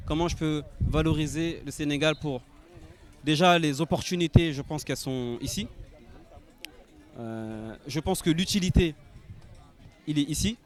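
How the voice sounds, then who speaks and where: A man born in Senegal and raised in France, speaking hesitantly with many fillers in an outdoor testimonial. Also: on the high side. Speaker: nurse and investor. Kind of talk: promotional testimonial.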